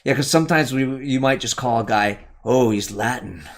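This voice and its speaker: A man's voice: mid-range voice, moderate pace. A man hosting a casual live commentary, thinking aloud and correcting himself as he goes. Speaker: channel host.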